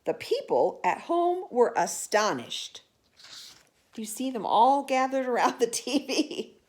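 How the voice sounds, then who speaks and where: Slow, animated woman reading to children. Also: on the high side. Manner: expressive, asks listeners questions about pictures. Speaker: storyteller. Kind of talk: children's picture-book read-aloud.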